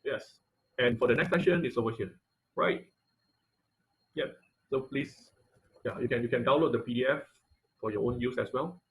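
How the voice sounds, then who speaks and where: A man teaching an informal online class and taking questions, speaking haltingly and often repeating phrases as he searches for words. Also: medium pitch. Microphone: online video-call audio.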